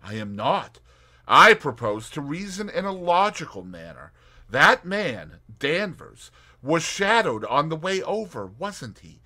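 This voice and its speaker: A man reading an audiobook, voicing a brisk and determined young woman detective with emphatic, crisp speech. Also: a little high, very animated, sharp tone, pitched above the performer's own voice, full character voice.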